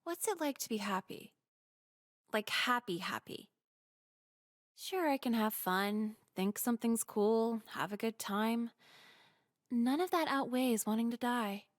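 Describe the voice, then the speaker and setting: A woman voicing a sardonic, depressed teenage high school girl in a visual novel game, deadpan, weary and profane. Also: lightly acted.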